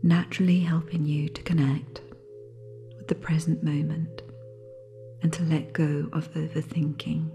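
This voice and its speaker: A woman with a low, soft, breathy voice leads a guided sleep meditation. She keeps a very slow pace and a level, barely moving intonation. Her manner is gentle and soothing, with faint singing bowl music behind her. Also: flat delivery.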